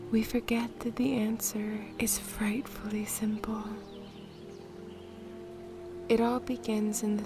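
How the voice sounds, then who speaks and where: Whispering woman guiding a slow meditation. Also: fairly high pitch. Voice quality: breathy, whispery. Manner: soothing, gentle, measured. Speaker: meditation guide. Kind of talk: guided meditation, soft-spoken narration. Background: music.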